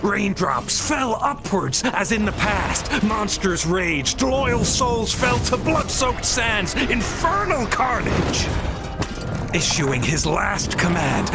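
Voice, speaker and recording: Swooping, theatrical storyteller. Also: male voice, high voice, exaggerated voice. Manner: grandiose, declamatory, drawn-out emphasis. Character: theatrical street storyteller, grandly recounting heroic war legends. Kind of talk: dramatic storytelling narration.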